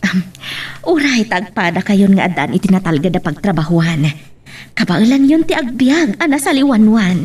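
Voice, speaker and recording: A woman playing a middle-aged Filipino mother in a radio-style drama, with a husky voice and an Ilocano accent. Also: mid-range voice, expressive, normal speed, a little mellow, distant mic. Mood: warm, accepting. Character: middle-aged Filipino mother, gentle but easily startled.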